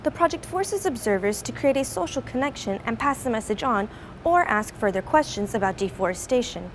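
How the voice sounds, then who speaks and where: A woman reading scripted television news reports as a reporter, with crisp broadcast enunciation. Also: fairly high pitch, animated, brisk, a little hiss or hum.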